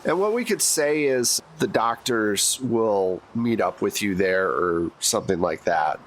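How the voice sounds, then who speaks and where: A man narrating as game master in a tabletop role-playing session, explaining scenes in a relaxed, laid-back way. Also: lowish voice, a little slow, a little hiss or hum.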